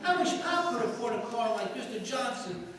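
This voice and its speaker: A woman's voice: fairly high pitch, expressive, moderate pace, natural voice. A woman voicing an eager, wistful young son in a 1940s-style radio sitcom. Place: live stage show in a hall. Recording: echoey hall, distant, noisy.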